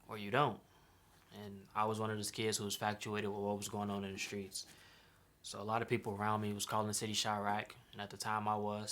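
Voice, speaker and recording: A man's voice: fairly low pitch, a bit bright. A young man from Chicago talking casually about his custom sneaker, in laid-back slang that often ends with "right?". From Chicago, USA. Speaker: sneaker designer. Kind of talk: casual vlog explanation.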